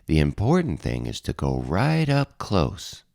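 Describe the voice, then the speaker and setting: A man's voice: low-pitched, huge pitch swings, unhurried, creaky, a little mellow, no room sound, clean studio sound, full character voice. A man voicing sly, coaxing big wild animals in a picture-book read-aloud. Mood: offhand, sly.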